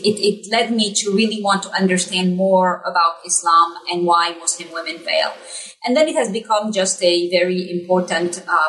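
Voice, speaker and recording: Woman from Egypt, measured and hesitant. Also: mid-range voice, a bit bright, far from the mic, poor audio. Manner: measured, many um fillers and restarts. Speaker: author guest. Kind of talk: academic podcast interview.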